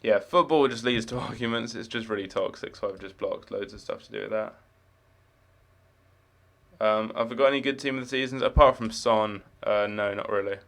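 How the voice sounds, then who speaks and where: A man's voice: lowish voice, normal speed, vocal fry, fairly bright tone. A young man with no marked accent, chatting casually while live-streaming a game, rambling with many fillers and restarts.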